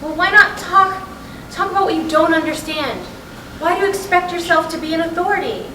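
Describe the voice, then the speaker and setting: A woman's voice: very high-pitched, brisk, pushed and bright. A woman playing a frustrated, restless middle-aged wife in a staged play reading, insistent and emotional as she urges her husband on. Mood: coaxing. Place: roomy hall, staged reading at a college. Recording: distant echoey stage recording with noise.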